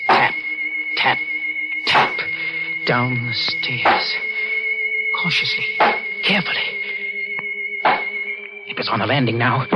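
A guilt-ridden young killer in an old radio suspense play. His voice sits high and swoops dramatically, stammering and overwrought. Music runs with the old broadcast. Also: low-quality recording. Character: guilt-ridden, panicky young murderer and nervous fiancé.

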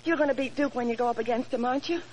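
A woman's voice: high voice, rapid-fire, slight background noise, close to an everyday voice, low-quality recording. An actress playing a racer's adoring but vengeful young girlfriend in a 1940s radio drama, gushing and urging. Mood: admiring.

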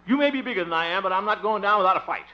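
Blustery american radio crooner, highish swoopy voice, old muffled comedy recording. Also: male voice, animated, racing, soft background music. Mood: indignant bluster. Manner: theatrical, blustery, chuckling. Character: blustering, wisecracking small-town radio crooner, a comic suitor. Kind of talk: 1950s radio comedy acting.

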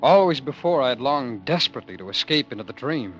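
An actor playing a weary, despairing man haunted by apocalyptic dreams, in a grave, halting, declamatory 1940s radio drama style. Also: mid-range voice, animated, lo-fi sound, soft background music, close to an everyday voice. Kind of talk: 1940s radio acting, dramatic first-person monologue.

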